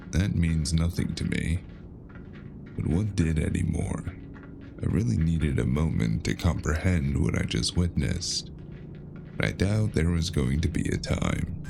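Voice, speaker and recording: An actor playing a grim, weary cyberpunk man who narrates his story in clipped, brooding noir phrases with a gravelly voice. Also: rumbling low, full character voice. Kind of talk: scripted first-person noir narration. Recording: loud music under the voice. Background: music.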